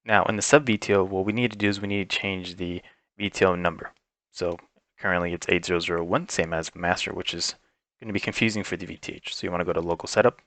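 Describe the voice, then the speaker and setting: A man giving an informal, unscripted step-by-step software walkthrough as a tech instructor, explaining each setting as he goes. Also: deep voice, fairly even intonation, quick pace, no room sound.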